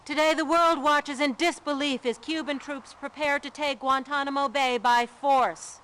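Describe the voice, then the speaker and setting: A woman's voice: sits high, fairly even intonation, normal speed, fairly bright tone, a little hiss or hum. A woman playing an earnest television field correspondent in a game, reporting formally and with urgency. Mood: urgent, serious.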